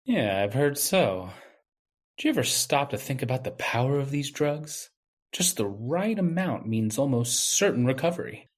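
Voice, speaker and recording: A man's voice: medium pitch, big pitch swings, normal speed. A man playing a wronged young medical intern, bitter and brooding, in old-time radio drama style.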